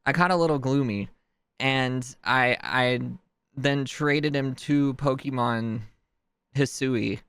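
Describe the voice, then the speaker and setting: A man's voice: slow pace, a bit bright, clear, resonant tone, no echo. Stuffy-nosed man rambling casually. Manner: rambling, self-interrupting, chuckling, jumps in pitch. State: stuffed-up nose from crying. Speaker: streamer.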